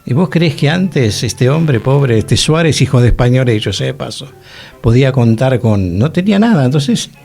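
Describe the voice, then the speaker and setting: A man's voice: medium pitch, lively intonation, normal speed, vocal fry, a little mellow, slightly rough, soft background music. A man with an Argentine accent chatting casually on a radio panel, musing and often checking for agreement. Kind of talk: casual conversational radio discussion.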